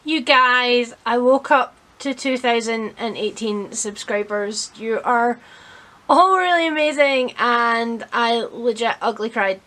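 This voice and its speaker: A woman with a fairly high, clearly bright voice talks to her vlog audience. She is chatty and expressive, and pokes fun at herself as she goes in a casual, homely style. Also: slow pace. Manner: chatty, self-deprecating, expressive. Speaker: vlog host. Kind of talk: casual vlog chat about a reading marathon. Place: indoors at home.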